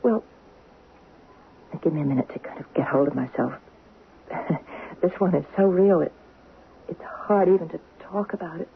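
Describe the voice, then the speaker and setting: Anxious young wife on tinny radio. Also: female voice, on the low side, moderate pace, vocal fry, pauses often. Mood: fearful, confiding. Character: anxious, insecure, devoted young wife haunted by dreams. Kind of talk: 1970s radio drama acting. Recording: noisy, tinny vintage radio broadcast.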